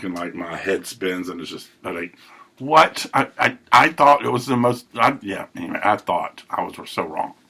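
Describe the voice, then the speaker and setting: Bright-voiced man telling personal stories. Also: low voice, gravelly, husky. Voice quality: big loud voice. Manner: rambling, self-correcting, trailing off. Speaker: podcast host. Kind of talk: personal recovery monologue.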